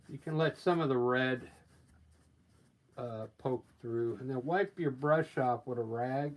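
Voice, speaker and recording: A man with a scratchy voice from allergies, painting live and explaining his steps in a relaxed, thinking-aloud way. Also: medium pitch. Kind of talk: casual live painting demonstration.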